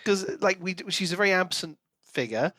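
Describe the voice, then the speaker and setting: A man with a fairly high voice and very lively pitch movement co-hosts a book discussion. His delivery is casual and questioning, as in conversation. The recording is close and dry. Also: a little fast, no room sound. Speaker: podcast co-host.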